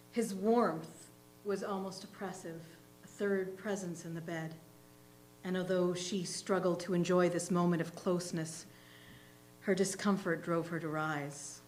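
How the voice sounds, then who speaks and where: A woman reading literary fiction aloud as a reader's theatre performer, in a measured, expressive storytelling manner. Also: on the low side, low-quality recording. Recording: faint hum and background noise.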